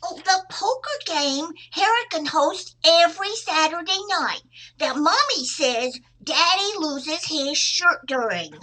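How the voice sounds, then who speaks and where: Chirpy, high-voiced little girl. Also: animated, unhurried, sharp tone, exaggerated voice, low-quality recording. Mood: chatty, boastful. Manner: repeats "I betcha" as a verbal tic. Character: chirpy, pestering little girl neighbour, cheeky and talkative. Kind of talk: old-time radio comedy acting.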